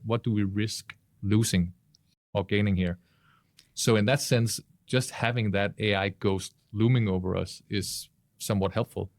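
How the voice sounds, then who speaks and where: A man's voice: lowish voice, fairly even intonation, measured pace, a little mellow, no echo, clean studio sound. A man speaking casually as a podcast panelist, in a conversational storytelling way. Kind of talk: casual panel discussion and anecdote.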